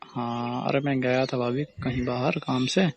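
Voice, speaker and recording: Male actor, mid-pitched, for a familiar, reassuring friend in a phone-call drama; colloquial, noisy recording. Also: little pitch movement, unhurried, natural voice. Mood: friendly, reassuring. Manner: reassuring, colloquial, with repeated questioning tags. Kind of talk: casual phone-call role-play. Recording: clear background noise on recording.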